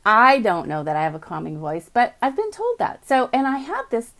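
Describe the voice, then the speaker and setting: A woman hosting a live morning podcast from her home office, chatty and upbeat, greeting viewers by name, with a voice she calls calming, on a computer mic. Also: fairly high pitch, normal speed. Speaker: podcast host. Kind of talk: casual live video chat.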